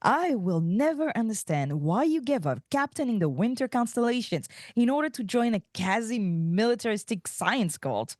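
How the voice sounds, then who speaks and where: A haughty alien aunt from a sci-fi cartoon script, played by a woman at a table read. She is sardonic and money-minded, with a flirtatious edge. Her delivery is lively and varied, on a dry, close recording. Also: on the high side, moderate pace, hardly any pauses, dry recording. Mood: scornful. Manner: sardonic, cutting.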